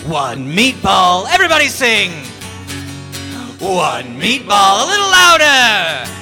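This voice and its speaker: A man's voice: fairly high pitch, animated, a little slow, pushed and bright, long reverb tail, soft background music, full character voice. A man playing a vain villainous showman who sings and banters with the crowd on a live stage. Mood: smug crooning. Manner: smarmy crooning showman with stage patter. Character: vain villainous male lounge-singer showman. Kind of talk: live comedic radio-play musical performance.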